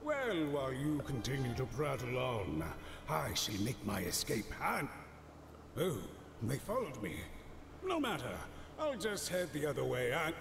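A man's voice: medium pitch, very animated, a little slow, vocal fry. A man voicing a haughty, grumbling old android scientist in an anime parody dub, with an echoing, noisy clip sound. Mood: exasperated. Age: older. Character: scheming, grumpy old male android scientist.

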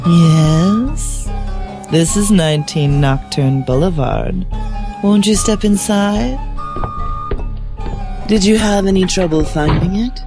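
An actress playing a mysterious, teasing hostess introducing a radio drama in a theatrical, inviting way. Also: female voice, fairly low pitch, slow pace, long pauses, music in the background. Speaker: host.

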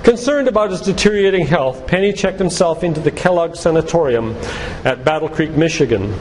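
An older man speaks like a lay preacher, telling stories and reading quotations deliberately and earnestly. His voice sits a little high for a man. It rings with long reverb in a church hall, with noise behind it. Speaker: physician and lay preacher giving a church talk. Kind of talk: sermon-like lecture with read-out quotations. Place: church hall, live talk to congregation.

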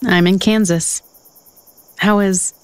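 An actress playing a wry, foul-mouthed author on a book tour, dry and conversational in a naturalistic audio drama. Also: female voice, medium pitch, fairly even intonation, slow, smooth, lightly acted. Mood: warm, teasing.

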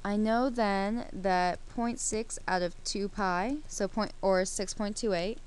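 A woman's voice: mid-range voice, fairly even intonation, slow, smooth. A woman teaching a math lesson over a screen recording, explaining each step while she works the problem.

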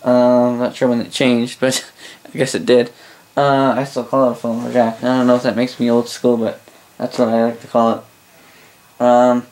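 A Canadian man casually demonstrating a gadget as a reviewer, halting, rambling and correcting himself as he goes. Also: mid-range voice, monotone, darkish tone. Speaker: product reviewer. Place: small indoor room at a desk.

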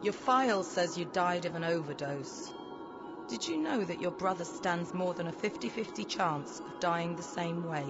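Woman playing a sardonic patrol officer, very lively pitch. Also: normal speed, natural voice. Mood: challenging. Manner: sarcastic, pointed questioning. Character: sardonic, blunt female afterlife patrol officer. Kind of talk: audio drama acting. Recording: dull lo-fi audio drama recording. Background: background music.

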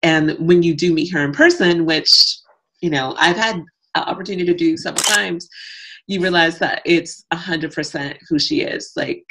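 A woman's voice: on the low side, moderate pace, a bit bright. A woman hosting an interview over a video call, upbeat and affirming, with lots of fillers. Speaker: interview host.

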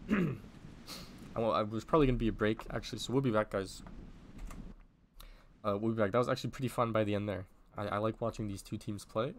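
A man's voice: fairly low pitch, a little fast, long pauses, slight background noise. A man with a sick, strained voice casually commentating a livestreamed game match in an informal, rambling, sweary way. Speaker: esports commentator, livestream host.

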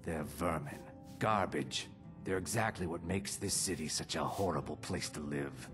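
A man's voice: a bass voice, normal speed, music in the background. An actor playing a bitter, scornful lizard-folk townsman in a fantasy game. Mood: contemptuous. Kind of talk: fantasy video game dialogue.

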